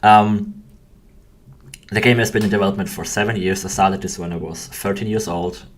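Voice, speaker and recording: A young man with a low voice and an Austrian German accent talks off the cuff on a livestream. He rambles hesitantly, often breaking off and picking up again. Faint noise sits behind him at his computer desk. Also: fairly even intonation, moderate pace. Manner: hesitant, self-interrupting, rambling. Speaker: game developer hosting a livestream. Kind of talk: casual rambling livestream chat.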